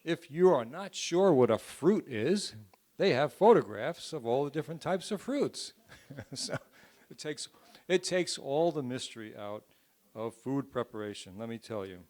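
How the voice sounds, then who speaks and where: A man's voice: mid-range voice, lively intonation, a little slow, darkish tone. A man hosting a church health seminar, recommending a cookbook in a chatty way with filler words. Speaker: seminar host. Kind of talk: informal presentation at a church seminar. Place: church hall.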